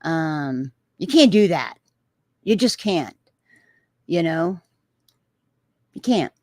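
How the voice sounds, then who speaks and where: Woman, Texan accent, livestream host, rambling casual chat, lowish voice, dry close sound. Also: very animated, extremely slow, dry recording. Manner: rambling, many "um"s and restarts. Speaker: livestream host reading viewer comments.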